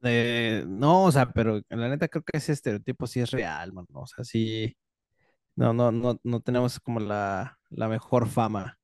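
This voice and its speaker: A man's voice: mid-range voice, measured pace, a little mellow, dry recording, studio quality. A Mexican-accented man hosting a casual podcast chat, speaking in a slangy, informal way and often interrupting himself. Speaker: podcast host.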